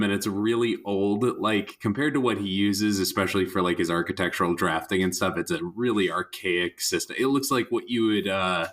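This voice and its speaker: A man playing a tabletop game, casually improvising descriptions of what his character does. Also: lowish voice.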